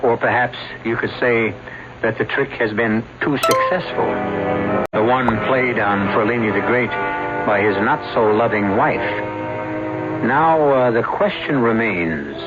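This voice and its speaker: A man hosting an old radio mystery drama, speaking in a wry, measured way. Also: mid-range voice. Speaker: show host. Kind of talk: radio drama host narration. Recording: lo-fi old radio broadcast with music.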